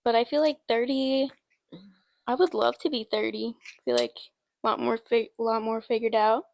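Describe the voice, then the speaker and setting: A laid-back woman co-hosts a podcast, her voice pitched fairly high and close to the mic. She talks loosely and teases her co-host. The sound is dry. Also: expressive, normal speed, creaky, dry recording. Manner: laid-back, teasing, joking. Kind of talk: casual chat.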